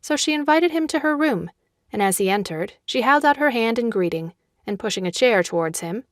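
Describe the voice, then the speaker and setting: A woman narrating a classic children's audiobook in a measured, scripted storytelling manner. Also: on the high side, expressive, no echo, studio quality.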